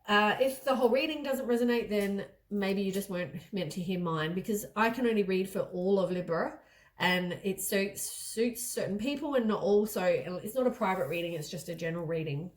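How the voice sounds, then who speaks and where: A woman at a mid-range pitch gives a casual tarot reading, her voice stuffy and a little low from a head cold. She talks loosely and rambles, often stopping to correct herself mid-sentence. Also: moderate pace. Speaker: tarot reader.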